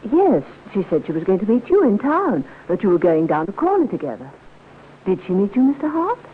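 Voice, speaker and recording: An actress playing an anxious, insistent, well-bred English hotel guest in a 1950s radio drama. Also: female voice, mid-range voice, huge pitch swings, fairly quick. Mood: concerned. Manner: genteel, fretful, insistent. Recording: tinny, noisy old radio recording.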